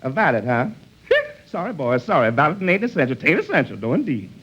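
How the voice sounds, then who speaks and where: An actor playing an excitable, shifty lawyer in 1940s radio comedy, folksy and forever repeating his own phrases. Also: fairly high pitch, fairly quick, breathy edge, exaggerated voice. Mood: brisk, dismissive. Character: excitable, shifty male lawyer who echoes himself. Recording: muffled, noisy 1940s radio broadcast.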